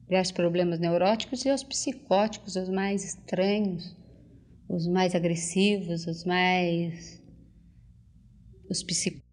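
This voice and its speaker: An older woman, recalling things as an interviewee and often pausing to search for words and restart. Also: on the low side, darkish tone. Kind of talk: casual spoken reminiscence. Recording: dull lo-fi tape recording.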